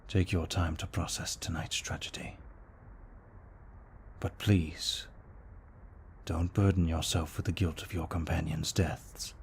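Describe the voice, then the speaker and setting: Man as a very deep, soft-spoken brooding vampire. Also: level tone, a little hiss or hum, full character voice. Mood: gentle, consoling. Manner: soft-spoken, often breathy near-whisper, slow and formal. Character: brooding remorseful male vampire, gentle and protective. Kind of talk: ASMR roleplay, scripted monologue.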